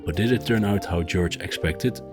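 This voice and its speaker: A man's voice: low-pitched, normal speed, music in the background. A man, a designer and traditional shoemaker, reading a promotional voice-over for his course. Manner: steady, promotional.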